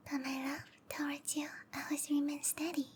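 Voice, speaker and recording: A woman voicing a sweet, obsessive snake-woman lover in a whispered ASMR roleplay, speaking softly and tenderly with intimate, lingering phrasing. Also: high-pitched, little pitch movement, moderate pace, slight background noise, close to an everyday voice. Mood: adoring. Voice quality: soft, breathy whisper.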